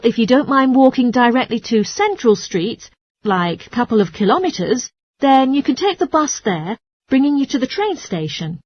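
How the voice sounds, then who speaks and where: A woman's voice: on the high side, a little mellow, no room sound. A woman playing a courteous, helpful transport information clerk in a scripted language-test dialogue.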